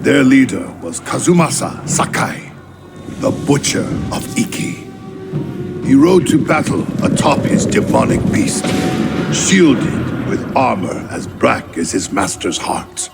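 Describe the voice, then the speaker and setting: An actor playing a bitter, scornful islander who tells a war story in a measured, dramatic way for a video game. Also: male voice, medium pitch, sing-song, creaky, full character voice. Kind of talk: scripted dramatic narration. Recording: music behind the voice.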